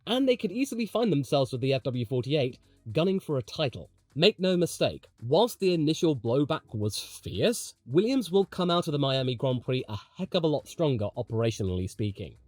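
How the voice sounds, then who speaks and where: A man's voice: fairly high pitch, sing-song, a little fast, a little mellow, dry recording, studio quality, soft background music. A man delivering scripted motorsport commentary in an emphatic, dramatic way, as the host of a video essay.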